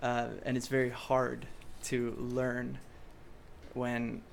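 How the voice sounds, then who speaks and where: Young man, from France, native French speaker, flat even delivery, faint background noise, halting speech. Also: medium pitch, creaky. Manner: halting, many fillers and restarts. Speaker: pop singer guest. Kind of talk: casual interview chat.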